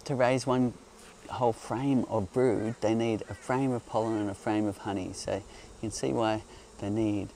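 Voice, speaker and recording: A man calmly explaining beekeeping outdoors among hives, speaking in a gentle, explanatory way while hosting a live Q&A. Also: lowish voice, moderate pace, vocal fry, a little hiss or hum. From Australia. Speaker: beekeeper host. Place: outdoors on a hillside among beehives. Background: faint outdoor ambience and buzzing bees.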